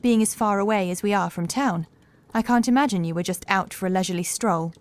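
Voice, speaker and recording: A woman playing a young housekeeper in a horror audiobook, calm and assured, with measured, formal speech and a touch of sorrow. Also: mid-range voice, no room sound, close to an everyday voice. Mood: wary, firm. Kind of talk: horror audiobook character acting.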